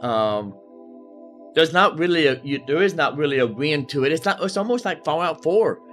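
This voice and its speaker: A man's voice: fairly high pitch, lively intonation, normal speed, fairly bright tone, faint music behind. A man hosting casual gameplay commentary, rambling and repeating himself as he talks back to game characters. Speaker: gaming host.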